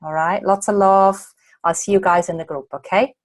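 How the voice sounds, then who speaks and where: A slightly hoarse woman from Australia, a coach answering viewer questions in a chatty way during a live online masterclass late at night. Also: medium pitch, steady tone, moderate pace, no room sound. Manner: chatty, reads out viewer comments and answers them. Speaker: coach and presenter.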